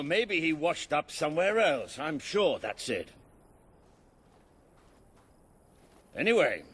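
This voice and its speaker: An actor playing an older, seasoned soldier mentor in a video game, British, with wry understatement and steady encouragement. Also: male voice, mid-range voice. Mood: concerned, hopeful. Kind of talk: video game cutscene acting. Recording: echoey hall reverb, clear background noise.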